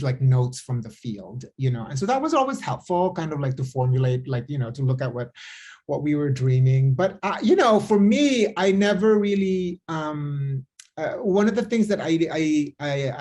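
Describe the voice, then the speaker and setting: Casting: man, mid-pitch, loose rambling panel storyteller. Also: darkish tone. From Philippines. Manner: rambling, restarting, chatty storytelling. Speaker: theater and film designer. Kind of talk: panel talk over video call.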